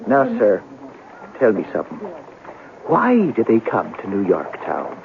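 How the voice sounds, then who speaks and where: An actor playing a wry, melancholy police sergeant in an old-time radio drama. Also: male voice, fairly low pitch, huge pitch swings, measured pace, vocal fry, a little hiss or hum, exaggerated voice. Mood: wistful, philosophical. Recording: muffled narrowband old radio broadcast.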